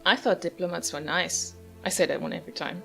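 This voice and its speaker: A woman's voice: on the low side, fairly even intonation, a little fast, vocal fry, pushed and bright. A woman giving casual, musing, understated commentary while playing a video game. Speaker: gamer, video host. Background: video game music.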